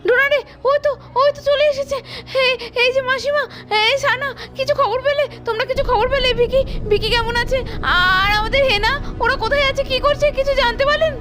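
A woman's voice: very high-pitched, fairly even intonation, a little fast, pauses often, a bit bright, voice pushed up. A woman voicing a caring young woman in a doll story, eagerly firing questions.

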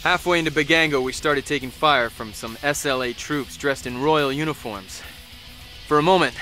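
Male actor, soldier, weary family man, game cutscene narration, sharp tone, backing music. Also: medium pitch, natural voice. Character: weary American male soldier, husband and father, recounting combat. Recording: music laid behind the voice.

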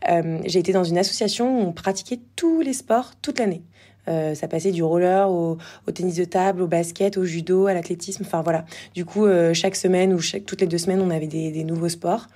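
Woman in her twenties, podcast guest, warm dark tone, lowish pitch, hesitant conversational delivery. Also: brisk. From Normandy, France. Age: 20s. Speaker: podcast guest, former athlete and entrepreneur. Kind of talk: casual interview chat.

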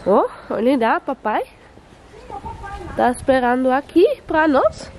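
Young woman speaking in slow, halting phrases. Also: high-pitched, big pitch swings, darkish tone, a little hiss or hum. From Netherlands. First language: Dutch. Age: young adult. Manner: halting, searching for words, slips into English. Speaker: vlogger. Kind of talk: casual travel vlog. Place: outdoors and indoors, apartment and streets.

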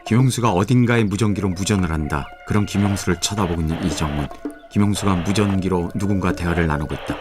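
A man narrating a radio drama in a steady, descriptive way. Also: low voice, quick pace. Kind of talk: scripted narration. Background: background music.

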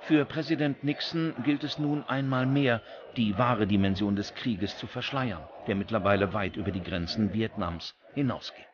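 A man's voice: fairly low pitch, moderate pace, slight background noise. A man reading scripted documentary narration as the narrator. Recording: dull recording with music bed. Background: background music.

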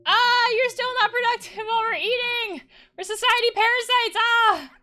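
A woman's voice: very high-pitched, moderate pace, pushed and bright, pitched above the performer's own voice. A woman voicing an anxious, needy guard wolf from a visual novel game, dramatic and pleading, prone to alarm. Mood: panicked.

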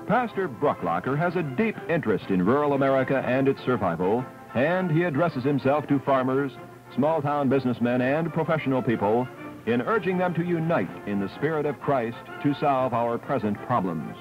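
Lively male announcer over music. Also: mid-range voice, moderate pace. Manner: formal, lively announcer delivery. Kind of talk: scripted broadcast introduction. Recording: dull 1960s film soundtrack over music.

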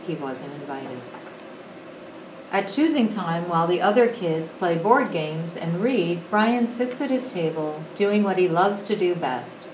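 A woman reading a children's picture book aloud with expressive, deliberate phrasing, as an educator would to pupils. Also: fairly low pitch. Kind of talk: storybook read-aloud. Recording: roomy echo, clearly noisy background.

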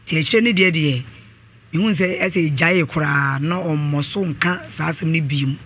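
A woman's voice: deep voice, normal speed, fairly bright tone. Woman guest, faint noise behind her. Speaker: studio guest. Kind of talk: recounting a personal account in an interview. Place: small indoor radio studio. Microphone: desk microphone, close.

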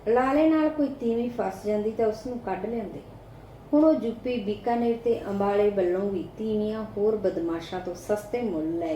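A woman with an Indian Punjabi accent narrates a scripted short story as an audiobook reader. Her voice sits at a medium pitch with a warm, mellow tone. The recording has a noticeable room echo and a faint layer of background noise.

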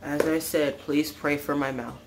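A woman's voice: low-pitched, flat delivery, measured pace. A woman helping off camera, trading casual, joking remarks in a wry way. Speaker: off-camera kitchen helper. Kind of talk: casual banter. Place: indoors in a home kitchen. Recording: roomy, noisy kitchen with clattering dishes.

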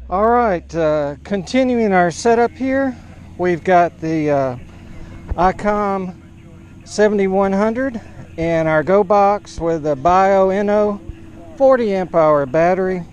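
A man narrating an outdoor setup vlog as an amateur radio operator, in a halting, matter-of-fact way. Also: high-pitched, darkish tone, dull audio. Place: large open grassy park field, outdoors.

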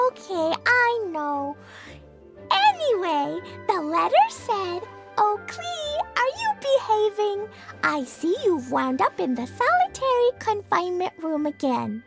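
Little girl, very high, slow-paced, childlike, innocent and eager, fantasy game character. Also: big pitch swings, gravelly, music in the background, heavily acted. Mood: sheepish, subdued. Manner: childlike, refers to herself by name. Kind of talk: anime-style game voice acting.

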